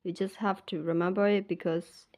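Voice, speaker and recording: A woman's voice: fairly low pitch, flat delivery, moderate pace, darkish tone. A young woman from Myanmar with a Burmese accent, teaching her language in a casual lesson and patiently saying each word twice.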